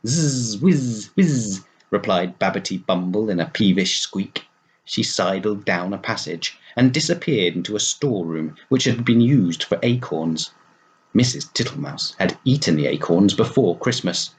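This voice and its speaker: A man reading a children's story aloud as a storyteller, in an animated narrating style. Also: deep voice, normal speed.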